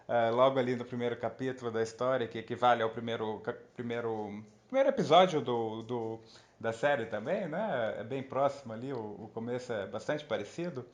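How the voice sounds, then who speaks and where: Hesitant, mid-pitched man explaining a book. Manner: hesitant, many fillers and restarts. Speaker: professor and author. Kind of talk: thoughtful conversational explanation.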